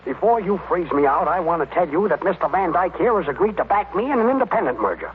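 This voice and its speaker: An actor playing an excitable, blustering husband and businessman, emphatic and theatrical, in an old radio comedy. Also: sits high, fast, breathy edge, heavily acted. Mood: defiant. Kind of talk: 1930s-40s radio comedy acting. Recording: tinny, noisy old radio recording.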